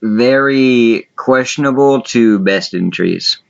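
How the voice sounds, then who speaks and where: A man's voice: mid-range voice, takes it slowly, creaky. A man chatting casually and crudely as he hosts a contest, with some swearing. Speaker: contest host. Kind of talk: casual chat, commentary. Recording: dull lo-fi voice chat audio.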